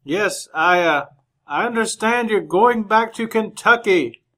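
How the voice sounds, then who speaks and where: An actor playing a polite, reserved gentleman reporter in an old-time radio play. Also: high voice, expressive, a little slow, exaggerated voice. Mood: awkward courtesy.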